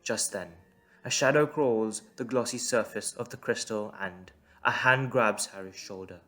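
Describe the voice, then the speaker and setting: A man's voice: fairly low pitch, unhurried, a bit bright, faint music behind. A man reading scripted story narration as the narrator of an audio drama.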